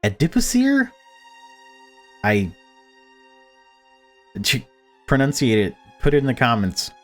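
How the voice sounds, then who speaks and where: A man's voice: medium pitch, wildly swooping pitch, takes it slowly, fairly bright tone. A man reading visual novel narration aloud and breaking off for casual commentary. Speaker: gaming video maker. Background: background music.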